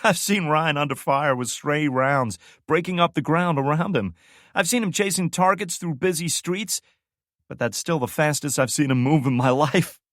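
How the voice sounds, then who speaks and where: A man's voice: medium pitch, expressive, normal speed, dry recording, studio quality, pitched above the performer's own voice, close to an everyday voice. A man voicing a brash, foul-mouthed young woman soldier who tells a story with boisterous, swearing glee. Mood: gleeful telling.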